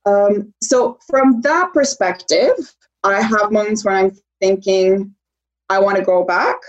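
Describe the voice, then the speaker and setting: A woman's voice: medium pitch, slow, muffled recording. A young woman from Poland in a casual conversation, thinking aloud and often saying "like". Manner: reflective, uses "like" often.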